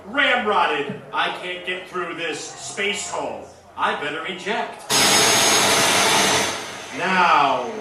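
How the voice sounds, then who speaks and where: A man playing a bumbling, bombastic space-captain hero in a comic radio serial, hammy and deliberate. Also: sits high. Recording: echoey stage, distant mic, music behind.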